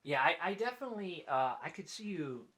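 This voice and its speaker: A man's voice: fairly high pitch, very animated, fairly quick, fairly bright tone. A man hosting a casual interview, chatty and loose, with frequent fillers and restarts. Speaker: podcast host.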